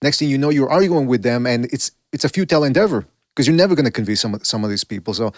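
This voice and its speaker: A man hosting a podcast in a Mexican accent, talking in long, reflective runs that wind into questions for his guests. His voice sits at a middling pitch, and the recording is dry and close. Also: expressive, rapid-fire, dry recording. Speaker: podcast host. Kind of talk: casual podcast interview.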